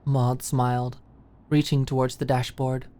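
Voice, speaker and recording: Woman w really deep voice reading a romance audiobook, asmr style. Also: little pitch movement, a little slow, dark tone, a little hiss or hum. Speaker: audiobook narrator. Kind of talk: scripted romance story narration, ASMR audiobook.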